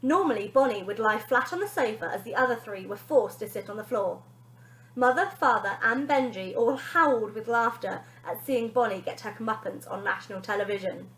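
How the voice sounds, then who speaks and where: A woman teacher reading a children's story aloud in an expressive, dramatic storytelling style. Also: a little high, fairly quick. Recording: echoey room.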